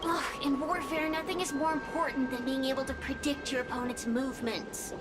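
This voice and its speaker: A woman's voice: high-pitched, steady tone, a little fast, over loud music, close to an everyday voice. A woman voicing a cunning, taunting spirit in a video game, speaking in a portentous manner. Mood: grave. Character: cunning fire spirit, smug and foreboding. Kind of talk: video game cutscene acting.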